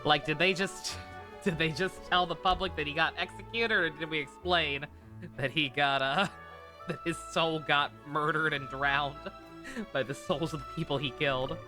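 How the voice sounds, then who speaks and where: A man's voice: on the high side, fairly even intonation, normal speed. A man streaming a game with casual, rambling, joking commentary. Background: game music.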